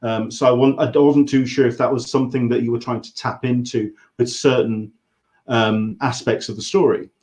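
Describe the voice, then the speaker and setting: A man's voice: medium pitch, fairly even intonation, moderate pace, darkish tone. An English man hosting a casual interview, circling hesitantly around his questions. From England. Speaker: interview host.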